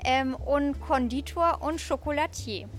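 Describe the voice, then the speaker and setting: A woman's voice: sits high, a little slow, faint music behind. Chatty young woman chocolatier. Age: 20s. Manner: friendly, chatty descriptions with fillers. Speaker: confectioner and chocolatier. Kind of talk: guest describing her workplace. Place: small chocolate workshop.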